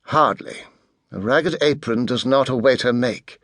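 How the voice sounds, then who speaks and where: Man voicing a soft, clipped, haughty teenage boy mastermind. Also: medium pitch, unhurried, no echo, clean studio sound. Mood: haughty, testy. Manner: clipped, precise, formal speech. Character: pale adolescent boy mastermind, testy, haughty, authoritative.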